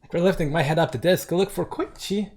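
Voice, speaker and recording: A man reading a visual novel aloud as a let's play host, mixing narration with casual, excitable commentary. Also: high voice, quick pace. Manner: excitable outbursts between narrated lines. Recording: game music behind the voice. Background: game background music.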